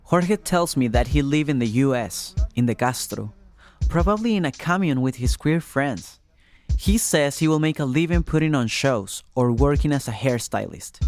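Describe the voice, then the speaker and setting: A man's voice: medium pitch, animated, normal speed. A young man tells a first-person story as scripted narration in a reflective, conversational way. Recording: faint music bed behind. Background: soft music.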